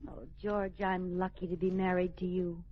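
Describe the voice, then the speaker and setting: An actress playing an affectionate, teasing wife in a 1940s radio sitcom. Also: female voice, fairly low pitch, flat delivery, moderate pace, crowd noise, natural voice. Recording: dull, noisy old radio recording.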